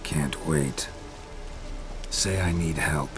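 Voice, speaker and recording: An actor playing a gruff, weary mercenary monster hunter in a video game, laconic and deadpan, with a gravelly voice. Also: male voice, a bass voice, drawn-out words, music in the background, exaggerated voice. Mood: sardonic. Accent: North American. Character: gruff, weary male monster-hunting mercenary, dry and sardonic. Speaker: player character.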